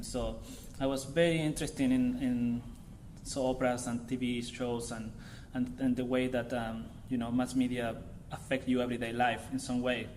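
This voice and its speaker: A man's voice: medium pitch, darkish tone, a little hiss or hum, rough recording. Chilean man in his thirties giving an artist talk. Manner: halting, informal, searching for words. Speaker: visiting artist.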